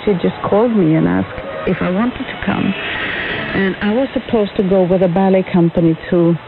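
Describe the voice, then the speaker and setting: Noisy shortwave radio, woman reminiscing. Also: medium pitch. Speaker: interview guest, museum curator. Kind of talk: conversational reminiscing. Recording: noisy, narrow-band shortwave radio broadcast.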